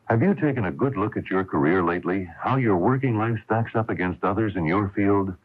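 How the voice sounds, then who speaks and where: A man's voice: lowish voice, brisk. An American man reading a scripted radio advertisement as an announcer, on a narrow, tinny old broadcast. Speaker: commercial announcer.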